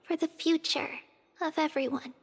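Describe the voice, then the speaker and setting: A woman voicing a small, gentle, childlike female companion in a video game, speaking tenderly. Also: high-pitched, fairly even intonation, moderate pace, in a large hall. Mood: tender, consoling. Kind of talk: scripted game voice acting.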